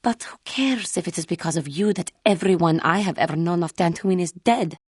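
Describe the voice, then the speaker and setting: Alien female warrior, video game dialogue, raspy, lowish, formal diction, solemn, proud, bitter. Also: a little mellow, no echo, studio quality. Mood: seething. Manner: formal, measured, stilted earnest diction. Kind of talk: scripted game character dialogue.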